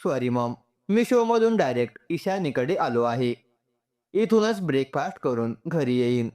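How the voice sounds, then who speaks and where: A man's voice: mid-range voice, very animated, unhurried, a little mellow. A man voicing a polite, friendly young man in a story, casual and sincere, mixing in English words. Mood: casual, affectionate.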